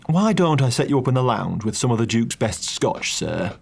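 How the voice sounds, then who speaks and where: A prim butler from a storybook, read by a man in a husky, close-to-his-own voice. He corrects manners in a slow, deliberate way. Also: mid-range voice, sing-song, exaggerated voice. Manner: corrects etiquette stiffly and deliberately. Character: prim, exasperated male butler.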